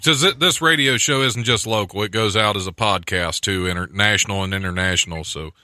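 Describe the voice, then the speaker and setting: A man's voice: on the low side, fairly quick, pushed and bright, no room sound. A man hosting a radio show from a studio, chatting casually, laughing often and joking at his own expense. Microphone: studio microphone.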